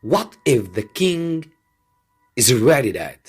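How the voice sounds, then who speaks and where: A man voicing an anxious, doubtful gentleman in a storybook reading, agitated and questioning. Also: mid-range voice, sing-song, slow pace, breathy edge, faint music behind, voice pushed up, heavily acted. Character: anxious, doubtful gentleman reluctantly posing as king.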